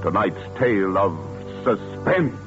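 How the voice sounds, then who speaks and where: A man announcing a radio program in a formal, measured, scripted 1940s style. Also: on the low side, animated. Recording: muffled 1940s radio recording with music.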